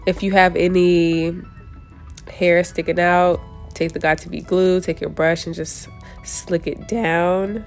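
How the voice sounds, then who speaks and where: A young woman with a somewhat low voice that sounds stuffed up and congested. She talks through a hair tutorial in a loose, chatty way while she works, stopping often to correct herself. Music plays softly in the background. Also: level tone, slow pace. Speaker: hair vlogger.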